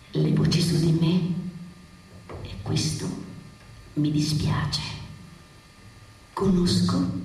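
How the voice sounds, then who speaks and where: A woman's voice: fairly low pitch, warm tone. A woman performing a dramatic stage monologue as a defiant, sensual, ironic woman. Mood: weary, languid. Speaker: actress reciting monologues. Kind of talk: theatrical monologue set to music. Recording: echoey distant stage sound with music.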